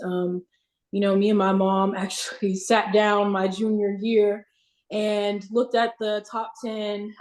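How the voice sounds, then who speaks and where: A woman, a physical therapist on a panel, speaking in a measured way with many ums. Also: medium pitch, a little mellow. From Pensacola, Florida. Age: 20s. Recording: roomy echo.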